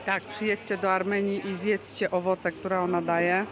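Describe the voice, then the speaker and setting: A woman's voice: mid-range voice, flat delivery, normal speed. Enthusiastic woman vlogger in a busy market. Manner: enthusiastic, chatty commentary. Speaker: vlog host. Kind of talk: casual market-tour vlog, showing and explaining goods. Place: large covered market hall. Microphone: handheld phone camera, speaker behind it. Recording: busy market crowd noise. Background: bustling market crowd.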